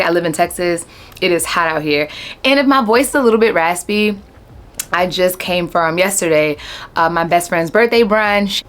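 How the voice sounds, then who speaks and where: Chatty young woman vlogging to viewers. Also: mid-range voice. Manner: chatty and warm, addresses viewers as y'all. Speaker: travel vlogger. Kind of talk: casual talk to camera. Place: Texas home setting.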